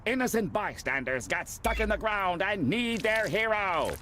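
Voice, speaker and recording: An actor playing a pompous, impatient, bossy film director villain in an animated video game. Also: male voice, high-pitched, moderate pace, a little hiss or hum. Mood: impatient, grudging. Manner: bossy, self-important, sarcastic.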